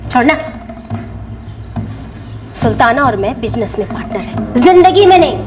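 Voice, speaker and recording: An actress playing a defiant, mocking brothel madam with a sarcastic manner in a TV crime drama. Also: female voice, fairly high pitch, big pitch swings, normal speed, far from the mic. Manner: brazen, sarcastic. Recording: off-mic with clear background music.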